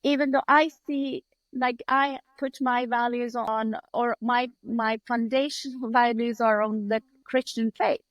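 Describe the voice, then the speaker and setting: A woman from Iran with a fairly high voice talks as a podcast guest. She explains herself in a thoughtful, reflective way. The sound is dry, with very little room. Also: steady tone, measured pace, hardly any pauses, no echo, lo-fi sound. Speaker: podcast guest, leadership consultant. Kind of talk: reflective interview talk.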